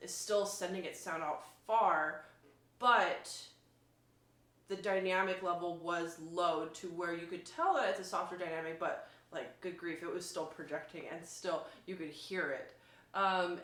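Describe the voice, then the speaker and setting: A woman's voice: on the low side, a bit bright. A woman violinist giving a casual, enthusiastic and emphatic spoken demonstration, explaining things as she goes. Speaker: violinist reviewing an instrument. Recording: roomy, echoing sound.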